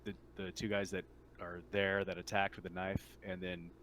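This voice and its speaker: Guy game mastering, kinda deep bright voice, informal and halting, some background noise. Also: level tone. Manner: informal, halting, thinking aloud. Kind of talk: casual tabletop game narration.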